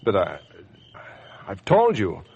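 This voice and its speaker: A man's voice: lowish voice, wildly swooping pitch, slow pace, creaky, a little mellow, husky, muffled recording, full character voice. An actor in a 1950s radio drama playing a tense, decent man under threat, clipped and earnest. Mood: evasive. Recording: old radio broadcast.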